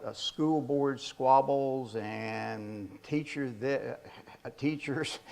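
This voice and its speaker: An older man in his sixties or beyond who stutters, giving an informal talk as an author in a halting way with repeated words. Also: medium pitch, gravelly. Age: 60s or older.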